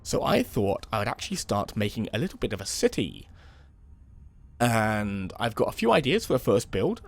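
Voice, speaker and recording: A young man with a slightly hoarse voice from a cold, giving relaxed, rambling commentary while playing a building game. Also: mid-range voice. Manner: relaxed, rambling, many "um" and "kind of". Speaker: let's play host. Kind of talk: casual gameplay commentary.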